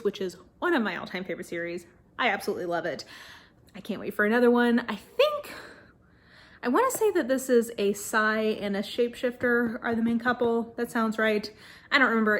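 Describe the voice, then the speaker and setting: Casual, chatty woman book vlogger. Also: fairly high pitch. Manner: informal, hedging, frequent "like" and "kind of". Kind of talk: casual chatty monologue to camera.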